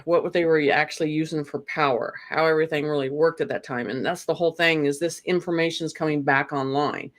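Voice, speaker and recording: A woman talking casually as an interview guest, telling stories in a rambling way with frequent "you know" asides. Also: sits low. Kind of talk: casual conversational storytelling.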